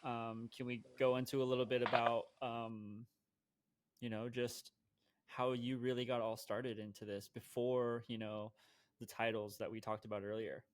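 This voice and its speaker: A man's voice: medium pitch, flat delivery. A man co-hosting a conservation podcast, speaking casually with many fillers and restarts. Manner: rambling, halting with many restarts. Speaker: podcast co-host.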